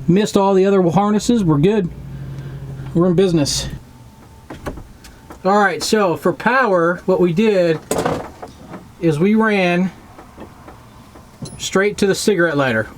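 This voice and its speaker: An American man with a slightly high voice explains a do-it-yourself job casually. He speaks plainly and informally, like a video host showing his work. The recording is outdoors, with faint background noise. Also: low-quality recording. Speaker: DIY boat maintenance video host. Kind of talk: casual hands-on how-to narration. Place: outdoors, on a boat parked by a driveway.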